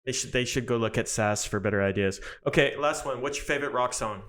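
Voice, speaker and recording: A man in the host's seat keeps the conversation moving with quick, upbeat questions. His voice sits at an ordinary middle pitch, and he chats casually between prompts. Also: fairly bright tone. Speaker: podcast host. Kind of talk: casual interview hosting.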